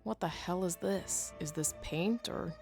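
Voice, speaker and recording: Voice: mid-range voice, a little slow, gravelly, over music. An actor playing a stubborn, wry bounty hunter in a western game, muttering thoughts bluntly. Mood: puzzled. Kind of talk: game acting, inner monologue.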